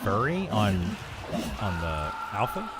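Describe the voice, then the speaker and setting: A man's voice: fairly low pitch, wildly swooping pitch, slow pace. A middle-aged man, a video game streamer, talking casually and a bit ramblingly as he answers viewers on a livestream. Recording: clear background noise and ambience.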